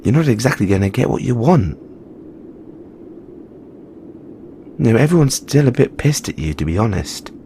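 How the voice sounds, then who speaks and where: Man playing a frank, caring Christmas elf, expressive. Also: medium pitch, fast, slight background noise. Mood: chiding. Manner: earnest, chiding yet reassuring, informal. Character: frank, caring male Christmas elf, weary but supportive. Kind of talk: second-person audio roleplay monologue.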